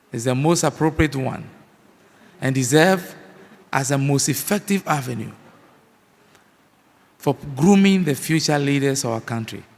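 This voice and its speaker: A man with a Ghanaian accent gives a formal address in a measured, deliberate way. His voice is gravelly and sits somewhat high. It rings with a long reverb from a large hall. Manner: measured, formal delivery with pauses. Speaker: guest speaker.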